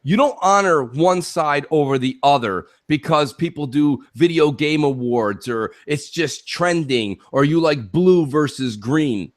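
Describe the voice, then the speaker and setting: Male co-host, raised pitch, highly animated delivery, opinionated, emphatic, rhetorical, gaming call-in talk. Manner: emphatic, rhetorical, punctuates points with "okay" and "listen".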